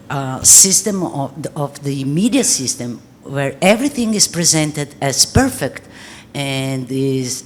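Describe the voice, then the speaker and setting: A woman, a Portuguese speaker, giving an earnest panel talk in English with many pauses. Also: extremely low pitch, a little hiss or hum. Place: art gallery talk before an audience.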